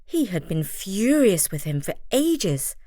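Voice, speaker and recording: Female narrator for children's bedtime tales, animated intonation, slow measured pace, close dry recording. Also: mid-range voice, dry recording. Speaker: storyteller.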